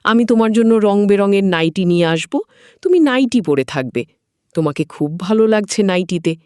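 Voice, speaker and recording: A woman's voice: mid-range voice, lively intonation, normal speed, a little mellow, clean tone, dry recording, clean studio sound, lightly acted. Woman voicing a doting, coaxing older father-in-law. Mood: coaxing, admiring. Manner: coaxing, admiring, indulgent.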